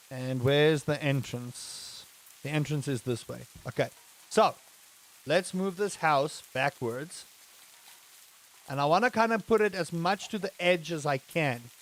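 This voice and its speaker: A streamer from England chats easily with his live audience while he plays. His voice sits a little high and swings up into excited exclamations, then drops back to a relaxed, running commentary. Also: unhurried, long pauses. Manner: animated, rising to excited exclamations; chatty, addresses chat directly. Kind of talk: live-streamed gameplay chat.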